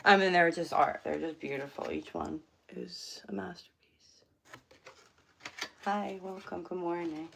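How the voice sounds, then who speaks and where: A woman's voice: on the low side, steady tone, measured pace, gravelly, long pauses, a little hiss or hum. A woman casually chatting on a live stream from her home, rambling with many fillers. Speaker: livestream host. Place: home room.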